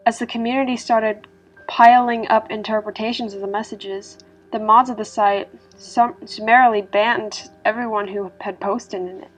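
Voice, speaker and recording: Female narrator, medium pitch, scripted horror storytelling, dramatic reading, soft music behind. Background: background music.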